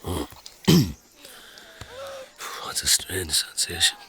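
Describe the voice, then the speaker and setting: A troubled fantasy scavenger with a deep, gravelly voice. He speaks slowly and haltingly, with dry sarcasm and a husky edge, as in a dark audio drama. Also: very animated, slight background noise, full character voice. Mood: uneasy. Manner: hesitant, halting, dryly sarcastic. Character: gruff, troubled young male scavenger with inherited powers, wry.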